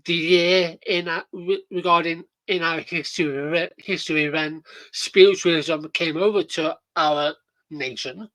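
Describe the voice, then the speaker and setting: Podcast host, slow and bright, rambling profane reaction chat with fillers. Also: medium pitch, dry recording, poor audio.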